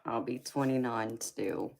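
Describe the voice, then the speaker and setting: A woman's voice: extremely low pitch, measured pace, vocal fry, darkish tone. A woman, chatting casually with live-stream viewers, laughing often and calling them honey. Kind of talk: casual live-stream chat reading comments.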